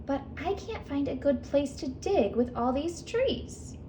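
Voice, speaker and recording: A woman's voice: high-pitched, lively intonation, measured pace, mellow, a little hiss or hum, pitched above the performer's own voice. A woman voicing a friendly male mole who is starting a garden, in a plain manner.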